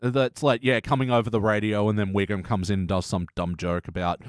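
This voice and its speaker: A man's voice: on the low side, little pitch movement, fairly quick, smooth, no room sound, clean studio sound. A man hosting a podcast review in a casual, conversational style. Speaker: podcast host leading a panel review. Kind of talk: casual chat.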